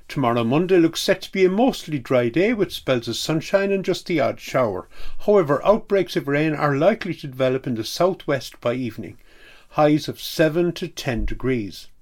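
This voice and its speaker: A man's voice: a little high, lively intonation, moderate pace, darkish tone. A man reading a scripted weather forecast on community radio.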